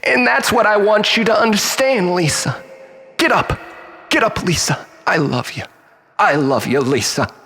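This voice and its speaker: Unhinged male monologuist played by a man: fairly high voice, self-loathing, rambling like a mock-philosophical preacher. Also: animated, a little slow, pushed and bright, a little hiss or hum, exaggerated voice. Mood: pleading. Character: unhinged, self-loathing male storyteller pleading with his lover.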